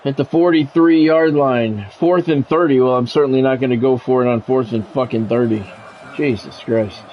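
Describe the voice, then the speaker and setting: A man's voice: medium pitch, steady tone, normal speed. A middle-aged man doing sports video game play-by-play with blunt, sweary, exasperated reactions. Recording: dull lo-fi audio, faint background noise.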